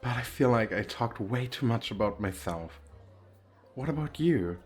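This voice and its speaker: A man's voice: mid-range voice, expressive, moderate pace, a little mellow, natural voice. A man acting a shy, nervous, wealthy businessman on a speed date in an ASMR roleplay, talking in a hesitant, rambling, self-deprecating way. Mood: nervous, candid. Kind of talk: ASMR roleplay, intimate flirty chat.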